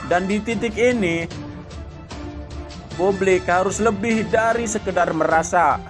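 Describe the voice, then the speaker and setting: A man delivering scripted political commentary narration in a measured, deliberate way. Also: high voice. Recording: faint background music.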